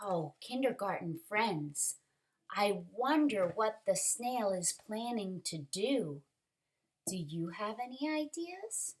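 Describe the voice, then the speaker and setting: A woman reading a picture book aloud to young children, expressive and dramatic, speaking like a teacher who pauses to ask questions. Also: mid-range voice. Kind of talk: animated storybook read-aloud for young children.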